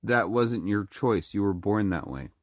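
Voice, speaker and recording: A speaker with a deep voice who has been a little sick, talking casually to the camera in a halting way with many fillers and restarts. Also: male voice, expressive, no echo, clean studio sound. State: a little sick, throat-clearing. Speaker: vlogger.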